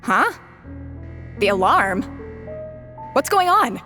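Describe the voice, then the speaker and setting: A stern overseer scolds in a slightly high voice with a slow, deliberate delivery. Game music hums faintly behind. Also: female voice, sing-song, heavily acted. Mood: scolding. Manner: sharp, scolding. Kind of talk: video game cutscene dub.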